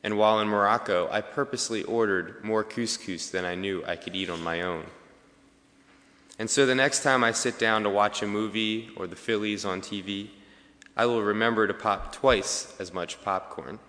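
Young man, lowish bright voice, calm quiet reading, hall echo. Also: normal speed. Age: young adult. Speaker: student speaker. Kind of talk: reading a prepared personal speech. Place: campus convocation hall. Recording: reverberant hall.

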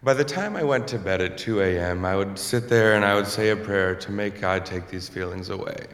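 A young man, a student with a low voice, telling a personal story to an audience in an earnest, candid way. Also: a bit bright. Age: young adult. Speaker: student speaker. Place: lecture hall. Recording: echoey hall with long reverb.

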